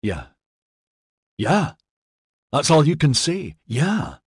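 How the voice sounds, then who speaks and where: A man's voice: lowish voice, sing-song, normal speed, slightly rough, no echo, studio quality. A man voicing an embittered, hot-tempered young male constable in an audiobook, with a harsh, sarcastic, biting manner. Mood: scornful, wounded.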